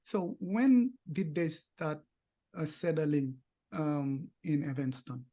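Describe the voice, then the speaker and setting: A man conducting a conversational interview as the interviewer, speaking in halting, deliberate phrases. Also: on the high side, warm tone.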